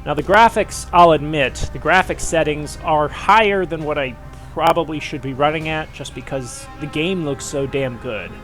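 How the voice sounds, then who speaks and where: A man with a stuffy, cold-blocked voice, giving a rambling, casual commentary over gameplay as host, with many pauses and fillers. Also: fairly high pitch, fairly bright tone, faint music behind, rough recording. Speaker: gaming channel host.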